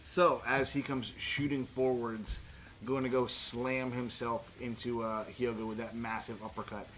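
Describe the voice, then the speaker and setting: A man's voice: mid-range voice, flat delivery, unhurried, fairly bright tone, a little hiss or hum. A man acting as game master in a casual tabletop session, narrating and bantering with a joking, foul-mouthed manner.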